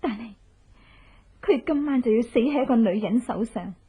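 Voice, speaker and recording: An actress playing a cunning, vengeful young wife in a Cantonese radio horror drama, with a Hong Kong accent and a manner that moves between coaxing politeness and taunting menace. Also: female voice, on the high side, expressive, moderate pace, warm tone, slightly rough. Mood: gloating, vengeful. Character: cunning, vengeful young wife who drugs her enemies.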